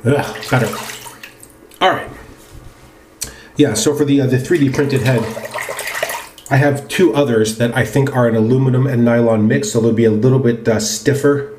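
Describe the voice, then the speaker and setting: Male vlogger, medium pitch, casual unhurried review talk, roomy echo. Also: steady tone, darkish tone, a little hiss or hum. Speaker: presenter of a wet shaving video. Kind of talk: casual product review chat while shaving. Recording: roomy, echoing indoor sound.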